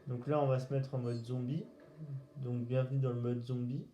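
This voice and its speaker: A man giving casual gaming commentary in a halting, hesitant way, searching for words. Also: medium pitch, flat delivery, mellow, highs cut off. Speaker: gaming video host. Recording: roomy echo, faint background noise.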